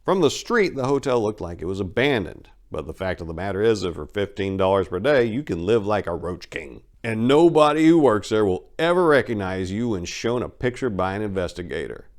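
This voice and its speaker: A man's voice: fairly low pitch, lively intonation, a little fast, hardly any pauses. A man giving a theatrical, sardonic dramatic reading of a gritty crime story as its narrator.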